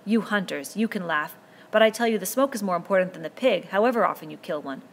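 A woman's voice: medium pitch, animated, quick pace, a little hiss or hum, close to an everyday voice. A woman reading a novel aloud, voicing an earnest, commanding boy chief who pleads and insists as he lays down rules. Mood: urgent.

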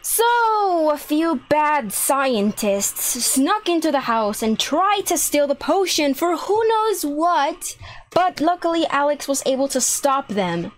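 A young woman hosting a gaming video, narrating and chatting through a roleplay in an exclamatory, animated way. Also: high voice, slow. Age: young adult. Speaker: YouTube gaming host.

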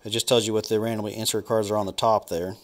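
A man's voice: fairly low pitch, little pitch movement, fast, a little hiss or hum. A man opening packs of football trading cards, thinking aloud and naming each card as he flips through them. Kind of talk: casual unboxing commentary.

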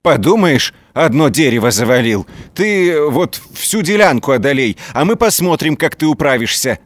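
A man's voice: mid-range voice, big pitch swings, moderate pace, dry recording, voice pushed up. A man voicing a rude, boastful older foreman of a lumber crew who mocks others in a folk tale. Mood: grudging bluster.

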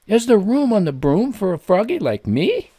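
A man voicing a polite, eager little frog with a croaky voice in a children's storybook. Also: high voice, big pitch swings, normal speed, dark tone, dry recording, exaggerated voice. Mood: hopeful.

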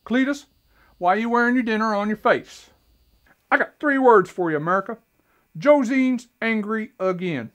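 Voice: medium pitch, expressive, normal speed, fairly bright tone, close to an everyday voice. A performer doing a folksy, boastful rural man. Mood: folksy, rambling. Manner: chatty, folksy, keeps asking "you know what I'm saying?". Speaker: self-styled host addressing America. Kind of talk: comic character monologue to camera.

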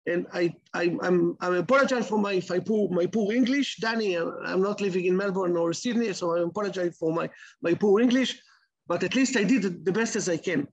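A middle-aged man with an Israeli accent giving an online lecture in halting English, full of repeats and restarts, over a video call. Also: sits high, dull audio. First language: Hebrew. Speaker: guest lecturer.

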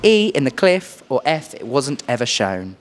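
A young adult man with a British accent hosting a playful quiz interview in an animated, exclaiming way into a handheld microphone. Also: medium pitch, normal speed. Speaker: host, quizmaster. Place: small furnished indoor room.

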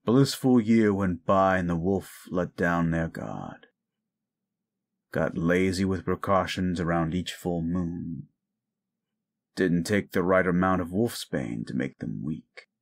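A man playing a bitter, grieving, gruff werewolf in an audio drama, cynical and scolding. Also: deep voice, exaggerated voice. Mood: grim, wistful. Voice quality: gruff, gravelly. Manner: cynical, scolding, deliberate storytelling. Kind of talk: audio roleplay drama acting.

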